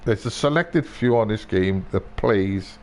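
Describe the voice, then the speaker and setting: A man's voice: fairly low pitch, lively intonation, measured pace. A man live-streaming a video game with casual commentary, grumbling, swearing and repeating himself.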